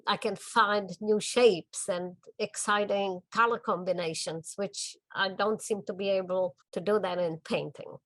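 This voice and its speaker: Older woman, Czech accent, gentle reflective artist, dry close sound, even mid pitch. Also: no room sound. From Czech Republic. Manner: gentle, thoughtful, pauses and restarts. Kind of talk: documentary interview. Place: home art studio.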